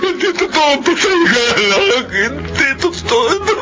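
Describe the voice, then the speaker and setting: An actor playing a middle-aged Filipino father in a radio melodrama, anxious and tender, with a halting, emotional delivery. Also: extremely high pitch, big pitch swings. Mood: anguished grief. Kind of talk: melodramatic radio drama acting. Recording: muffled lo-fi drama audio, loud music.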